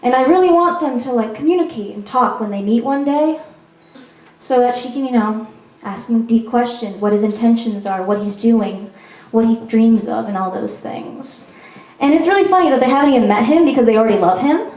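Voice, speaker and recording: A woman's voice: fairly high pitch, fairly quick, a little mellow, recorded from a distance. A young woman from Peru performing a stand-up comedy set in a chatty, self-mocking way, with frequent ums. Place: small performance venue. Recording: echoey venue recorded from afar.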